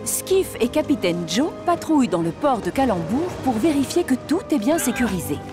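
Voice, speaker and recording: Woman narrating a kids' cartoon, fairly high voice, storytelling tone, loud music. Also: very animated, moderate pace. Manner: clear, storytelling. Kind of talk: scripted children's story narration.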